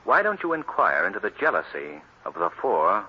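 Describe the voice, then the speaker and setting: Earnest detective hero, swoopy dramatic delivery, muffled old time radio show. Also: male voice, lowish voice, huge pitch swings, normal speed, husky, full character voice. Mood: serious, thoughtful. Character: earnest, resolute male amateur sleuth and crime fighter. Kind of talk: 1940s radio drama acting. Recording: noisy, dull old radio recording.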